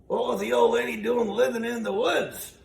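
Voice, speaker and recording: A man's voice: very high-pitched, steady tone, normal speed, creaky, voice pushed up, heavily acted. A man voicing a cranky, folksy old male puppet sidekick who interrupts with contrary wisecracks in a ventriloquist comedy routine. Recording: roomy, distant mic, faint noise.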